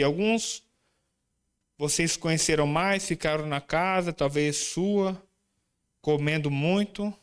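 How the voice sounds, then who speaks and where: Guy preaching in a church, smooth clear voice, kinda high, chatty and loose with the crowd. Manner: warm, informal, chatty asides with the congregation. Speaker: visiting preacher leading a student group. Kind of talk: sermon. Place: church sanctuary.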